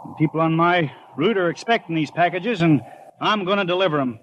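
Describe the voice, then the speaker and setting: A man's voice: fairly high pitch, fairly quick, natural voice. Actor as a folksy, earnest small-town postman, dull old recording. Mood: stubborn, noble. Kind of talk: 1950s radio acting, first-person storytelling and dialogue.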